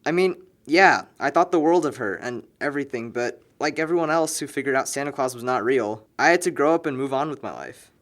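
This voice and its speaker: A young man, acted by a man, chatting naturalistically with a buddy in a film drama. He is wry and talkative, spinning out slangy stories with emphasis at an ordinary male pitch. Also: animated, a little fast, a bit bright, natural voice. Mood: opinionated. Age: young adult. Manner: slangy, rambling, emphatic storytelling. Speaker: actor in a scripted film.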